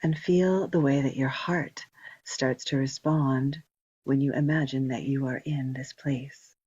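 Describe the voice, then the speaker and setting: A woman leading a guided meditation, giving slow, gentle instructions with long pauses in a soft, breathy voice. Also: a bass voice, fairly even intonation, dry recording. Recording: dull, muffled lo-fi recording.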